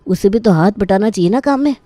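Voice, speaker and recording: A woman's voice: medium pitch, animated, fast talker, dark tone, dry recording, lightly acted. A woman voicing a disapproving older father-in-law who chides reproachfully in a family story. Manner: chiding, reproachful.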